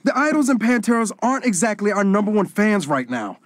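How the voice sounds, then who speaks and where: A man's voice: high voice, sing-song, brisk, no echo. An actor playing a fussy, exasperated nerdy young man in a video game cutscene. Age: young adult.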